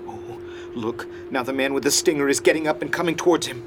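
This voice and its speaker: An actor in an audio drama playing an earnest young man in his twenties, easily flustered and halting. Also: mid-range voice, over music, lightly acted. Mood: observing. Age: 20s. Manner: halting, excitable.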